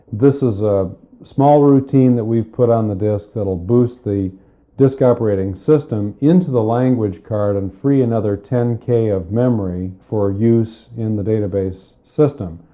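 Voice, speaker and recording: Low-voiced male instructor on dull cassette. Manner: methodical, explanatory, with pauses. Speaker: software tutorial instructor. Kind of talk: spoken step-by-step computer lesson.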